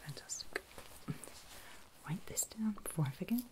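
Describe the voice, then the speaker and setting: A woman whispering gently in an ASMR medical roleplay, giving reassuring step-by-step instructions as an examiner, in a soft breathy voice. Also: medium pitch, animated, moderate pace. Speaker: ASMR performer playing a pre-op medical examiner.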